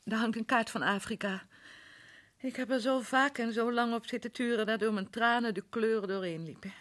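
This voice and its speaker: An actress playing the anxious, guarded wife of a disgraced archaeologist in a radio drama, often speaking in a hushed tone. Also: female voice, on the high side, normal speed, lightly acted. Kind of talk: 1960s radio drama acting.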